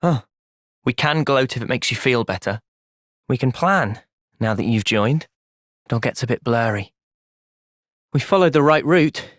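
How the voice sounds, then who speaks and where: A man with a British accent playing a thoughtful, gentle young soldier in video game cutscenes, measured and earnest. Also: mid-range voice, expressive, no echo, clean studio sound, natural voice. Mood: quiet, pensive.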